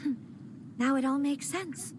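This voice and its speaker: An actress voicing a gentle young noblewoman in a video game, soft-spoken, earnest and hesitant, with game music behind her. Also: sits high, unhurried, noisy background. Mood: worried. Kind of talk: game voice acting.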